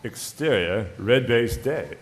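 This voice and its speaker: A man's voice: medium pitch, expressive, takes it slowly. A man reading stage directions as narrator of a scripted comedy table read. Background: music.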